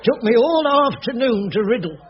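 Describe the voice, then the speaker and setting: High-pitched grumbling London caretaker. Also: male voice, very animated, moderate pace, very noisy. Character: grumbling, wily working-class male jobsworth caretaker. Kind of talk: radio sitcom acting. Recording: dull old radio comedy recording.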